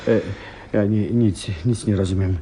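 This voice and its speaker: Faithful gentleman sidekick: extremely raspy, lowish, puzzled and questioning, radio-play delivery. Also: fairly even intonation, measured pace. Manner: hesitant, questioning. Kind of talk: Polish radio play acting.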